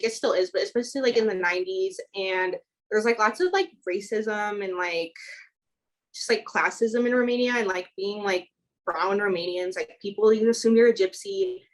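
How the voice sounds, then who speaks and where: A woman's voice: mid-range voice, low-quality recording. A woman in her twenties from Chicago, a student midwife telling her story as a podcast guest in a chatty way, full of "like" fillers. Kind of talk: casual interview chat.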